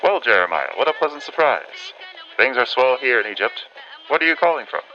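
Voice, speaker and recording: Man acting a forceful, bright 1940s radio correspondent. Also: medium pitch, animated, a little fast. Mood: pleasantly surprised. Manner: old-fashioned announcer-like phrasing, exclaiming. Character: 1940s male radio correspondent, reluctant but dutiful, wry. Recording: dull band-limited audio with music behind.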